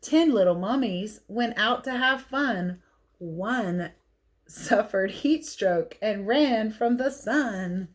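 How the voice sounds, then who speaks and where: Woman, children's read-aloud teacher. Expressive storybook delivery mixed with casual, chatty asides. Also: medium pitch, takes it slowly. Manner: expressive, chatty, explains as she goes. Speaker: teacher reading picture books aloud.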